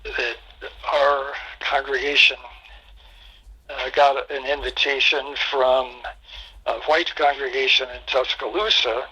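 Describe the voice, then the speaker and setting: Older male pastor, 80s; bright but breathy timbre, reflective oral-history delivery, halting. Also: mid-range voice, fairly even intonation, low-quality recording. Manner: halting, reflective, many fillers.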